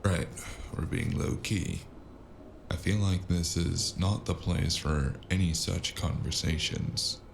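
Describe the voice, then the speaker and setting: A man acts out a weary noir investigator for an audio drama. His voice is extremely low and full of gravelly fry, and he speaks slowly, with dry, sardonic wit. There is clear noise behind him. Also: fairly even intonation, full character voice. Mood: wry. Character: weary wisecracking noir investigator, a mysterious male stranger. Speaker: narrator and lead character.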